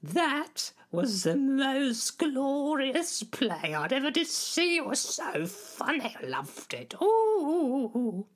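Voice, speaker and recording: A woman voicing a gushing, easily delighted queen for a comedy sketch, exclaiming in drawn-out phrases. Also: big pitch swings, full character voice. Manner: drawn-out, high-pitched exclaiming.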